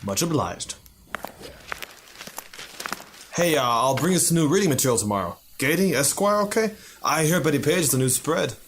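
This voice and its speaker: A man's voice: a little high, animated, quick pace, a bit bright, slight background noise. A man playing a breezy, wisecracking 1950s photographer and war veteran in a noir radio drama, with a glib, slangy, familiar manner. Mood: teasing.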